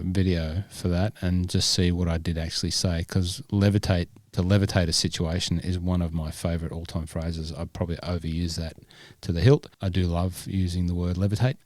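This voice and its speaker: A man with a low voice co-hosts a casual podcast, rambling and poking fun at himself. The sound is dry and close, with no room around it. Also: a little mellow, no echo. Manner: self-deprecating, rambling banter. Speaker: podcast co-host.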